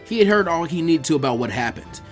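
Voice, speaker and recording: A man reading a scripted story aloud like an audiobook, in an animated, expressive manner. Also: on the high side, quick pace, faint music behind. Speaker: fan fiction reader, narrator.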